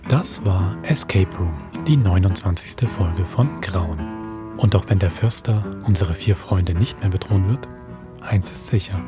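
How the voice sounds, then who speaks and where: A man's voice: sits low, measured pace, music in the background. A man acting as the ominous storyteller of a horror audio series, narrating from a script. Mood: ominous, measured. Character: ominous male storyteller closing a campfire horror tale. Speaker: narrator and host.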